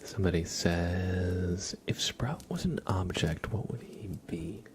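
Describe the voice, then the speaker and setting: A man chatting casually on an ASMR livestream, soft-spoken and halting, often slipping into a whisper. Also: sits low, noisy background.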